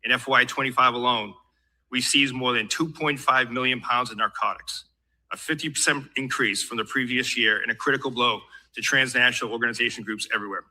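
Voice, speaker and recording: An American man, a government official, reading a prepared formal statement in a measured way. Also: mid-range voice, steady tone, pushed and bright, rough recording. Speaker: government law enforcement official.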